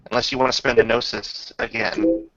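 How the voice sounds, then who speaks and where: A man's voice: mid-range voice, little pitch movement, a little fast, bright, forceful tone, dry recording, highs cut off. A man casually running a tabletop roleplaying game as game master.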